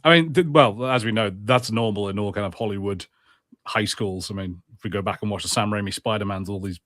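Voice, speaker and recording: A man's voice: lowish voice, fairly quick, a bit bright, no echo, studio quality. A man co-hosting a podcast, talking informally in casual conversation. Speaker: podcast co-host. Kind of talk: casual chat.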